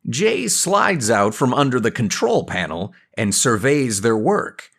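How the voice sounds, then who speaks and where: A man's voice: medium pitch, sing-song, unhurried, a bit bright. A man delivering scripted narration for an audio drama.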